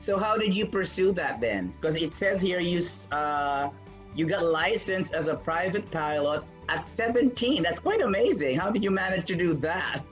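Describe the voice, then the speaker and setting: High-voiced man hosting an interview, eager, reacts with wows, faint music. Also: expressive, moderate pace, fairly bright tone. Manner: eager, lots of "wow"s. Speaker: interviewer, host.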